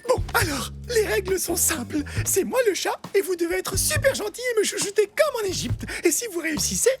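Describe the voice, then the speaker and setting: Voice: extremely high pitch, big pitch swings, a little fast. A woman voicing a vain, whiny, theatrical young male boar in a cartoon, who fancies himself a sacred cat. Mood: bossy. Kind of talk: animated cartoon dubbing. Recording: music behind the voice.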